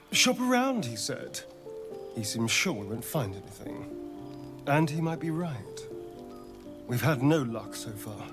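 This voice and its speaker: An actor playing a sly, sardonic vampire rogue in a video game, speaking in an arch, theatrical drawl. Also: male voice, medium pitch. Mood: uneasy, brooding. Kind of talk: dramatic game character acting. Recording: music behind the voice.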